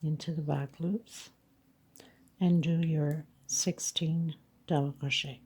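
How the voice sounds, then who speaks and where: Slow, husky, congested woman teaching crochet. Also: low-pitched, fairly even intonation, a little mellow. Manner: slow, patient counting of stitches. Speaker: crochet instructor. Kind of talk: step-by-step craft tutorial.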